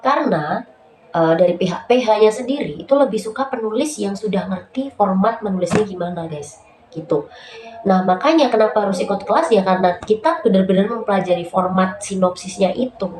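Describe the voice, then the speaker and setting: A woman's voice: mid-range voice, brisk. A woman hosting a casual tutorial vlog, nasal and stuffed up from a cold, chatting with lots of fillers and calling her viewers guys. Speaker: YouTube host giving writing tips. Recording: roomy echo, faint background noise.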